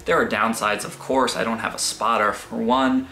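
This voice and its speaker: A man's voice: medium pitch, steady tone, moderate pace, bright, forceful tone, soft background music. A man in his thirties giving a casual tour of his small basement home gym, explaining his gear in a chatty way. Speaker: fitness vlogger. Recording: echoey basement room.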